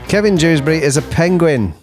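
A man's voice: fairly high pitch, animated, fairly quick, a little mellow. A man who performs sketch comedy, reading aloud in a playful comic style. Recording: music playing under the voice. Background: music.

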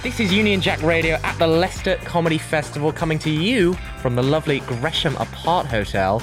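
A man's voice: fairly high pitch, fairly quick, music in the background. A man presenting on radio, interviewing a guest in a light, chatty way. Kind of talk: light interview chat with a comedian.

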